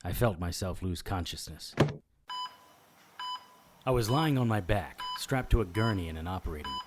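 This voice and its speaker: A sardonic, wisecracking detective played by a man for a noir audio drama. His delivery is animated and swings widely in pitch, with a dry, sarcastic edge to both narration and banter. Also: moderate pace, very noisy. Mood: wry, tense. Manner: wry, sarcastic. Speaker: lead character and narrator.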